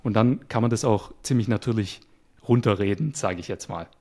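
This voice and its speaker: Calm man explaining his work. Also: lowish voice, brisk. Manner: calm, explanatory, some fillers. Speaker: guest, web developer. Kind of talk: casual interview chat.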